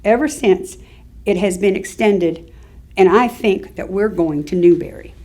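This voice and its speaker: A woman's voice: medium pitch, measured pace, dark tone, lightly acted. Wry elderly Southern woman played by an actress. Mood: matter-of-fact. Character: elderly small-town Southern woman, wry local chronicler. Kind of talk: staged reading of oral history monologue.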